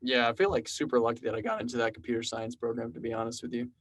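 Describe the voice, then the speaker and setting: Relaxed young Texan man, rambling. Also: mid-range voice, very fast, a little hiss or hum. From South Texas. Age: 20s. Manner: relaxed, rambling storyteller with many fillers. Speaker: podcast guest, programmer and musician. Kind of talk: casual conversational interview.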